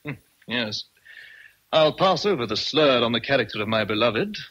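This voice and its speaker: British period gentleman, teasing, deliberate, brooding lover, forceful bright tone, radio drama. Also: mid-range voice, lively intonation, breathy edge, low-quality recording. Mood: mock brisk. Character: brooding, teasing gentleman master in love with his governess.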